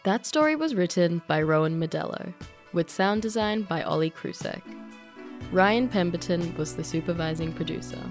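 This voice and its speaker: A woman's voice: on the low side, lively intonation, normal speed. A woman presenting radio, reading scripted closing credits. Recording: music bed under voice. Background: theme music.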